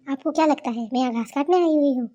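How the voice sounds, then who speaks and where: Woman playing a high-pitched brash young daughter-in-law. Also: fast, dark tone, lightly acted. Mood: defiant, scornful. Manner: scornful, bossy, complaining. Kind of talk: cartoon character dialogue.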